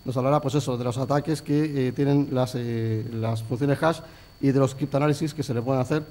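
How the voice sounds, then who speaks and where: Halting host introducing a speaker. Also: male voice, mid-range voice, steady tone, dark tone. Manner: halting, many fillers and restarts. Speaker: event host. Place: lecture hall.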